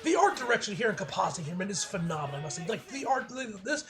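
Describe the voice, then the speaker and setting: A man with a high voice talks quickly through an episode review. He sounds like an excitable fan, rambling casually in loose slang with plenty of swearing. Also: over music. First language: English. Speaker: anime reviewer.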